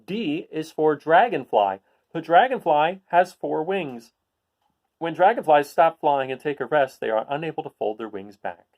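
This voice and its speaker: Male read-aloud host for a children's book, slightly high voice, very lively intonation, explains things with casual asides. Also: normal speed. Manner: explanatory, addressing young listeners.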